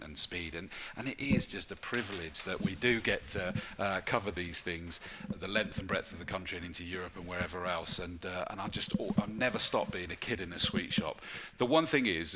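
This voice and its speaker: Low-voiced, enthusiastic male motorsport commentator; animated storyteller, with clear event noise behind him. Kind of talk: casual live commentary chat.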